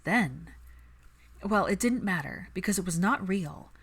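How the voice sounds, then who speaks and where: A woman reading fiction aloud as an audiobook narrator, in an expressive scripted storytelling style. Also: fairly low pitch, a little slow, husky.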